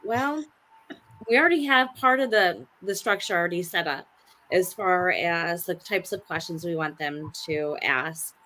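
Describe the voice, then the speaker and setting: Woman, low-ish but bright voice, panelist explaining steps in a relaxed chat. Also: a little slow, poor audio. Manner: steady, explanatory, with quoted back-and-forth. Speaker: panelist on a sisters' chat show. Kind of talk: casual group chat.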